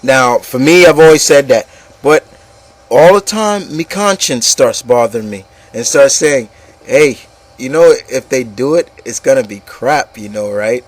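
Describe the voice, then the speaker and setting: A man's voice: medium pitch, animated, measured pace, dry recording. A man hosting a casual opinion show, speaking bluntly and with exasperation, often stammering and repeating himself and swearing. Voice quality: stammering, repeats words and phrases. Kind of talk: casual opinion rant with a co-host.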